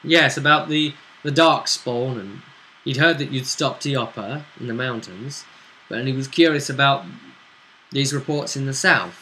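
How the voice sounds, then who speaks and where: British male game master, forceful bright tone, halting casual recap, faint noise behind. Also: mid-range voice, rough recording. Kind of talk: casual recap of a tabletop role-playing game.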